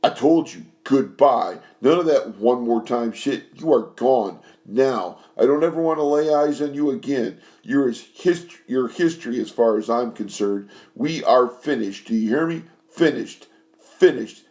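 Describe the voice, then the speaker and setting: A man voicing a worn-out, furious woman singer, a diva who turns shrill and scornful. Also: steady tone, moderate pace, vocal fry, mellow.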